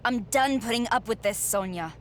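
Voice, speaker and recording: A woman's voice: a little high, moderate pace, a little hiss or hum, lightly acted. A woman playing a defiant, determined female pro wrestler in a video game cutscene, speaking in a firm, confrontational way. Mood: fed up, challenging.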